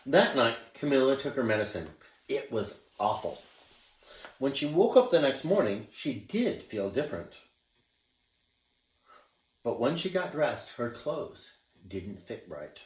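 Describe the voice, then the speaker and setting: Expressive man reading a picture book aloud. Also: medium pitch, measured pace, pauses often. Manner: expressive, showing pictures as he reads. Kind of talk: children's read-aloud narration. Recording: roomy, distant mic.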